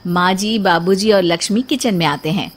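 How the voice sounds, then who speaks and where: A woman's voice: fairly low pitch, moderate pace. A woman narrating a scripted story as the storyteller.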